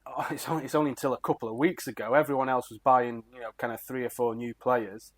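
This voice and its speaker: Mid-pitched man asking tag questions. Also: fairly even intonation, quick pace. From Crewe, England. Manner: conversational, hedging, ends lines on tag questions. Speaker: podcast co-host. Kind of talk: casual football chat.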